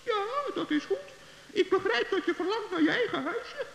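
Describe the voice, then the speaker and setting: Tiny squeaky, creaky gnome. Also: animated, normal speed, exaggerated voice. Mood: friendly. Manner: gentle, earnest, naive. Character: small kindly trusting male forest gnome. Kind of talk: children's radio play acting.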